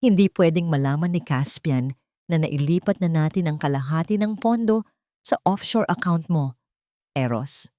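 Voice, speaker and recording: A woman's voice: low voice, moderate pace, dark tone, no echo, studio quality, close to an everyday voice. A woman voicing a scheming, two-faced wealthy wife in an audio drama, mixing English and Tagalog. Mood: cold, menacing. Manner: code-switches between English and Tagalog.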